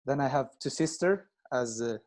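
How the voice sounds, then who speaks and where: A man in his twenties who grew up in Italy, speaking slow, halting non-native English as a guest with many pauses and restarts. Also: medium pitch, lively intonation, a little mellow. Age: 20s. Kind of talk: casual interview chat.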